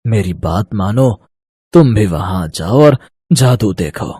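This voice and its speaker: A man voicing a kindly old village uncle in a moral story, earnest and secretive, speaking slowly and deliberately. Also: on the low side, animated, dark tone, husky, dry recording, clean studio sound, heavily acted. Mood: earnest, confiding. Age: older. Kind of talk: Hindi moral story voice acting.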